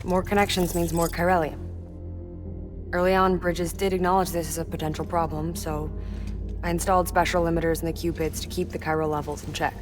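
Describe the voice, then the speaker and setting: Over a clear music bed, an actress plays a weary young mother who works as a scientist. Her voice sits fairly low for a woman, soft-spoken and caring, with a measured, analytical delivery in a naturalistic cinematic game style. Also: level tone, natural voice. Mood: sober, explanatory. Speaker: scientist and engineer.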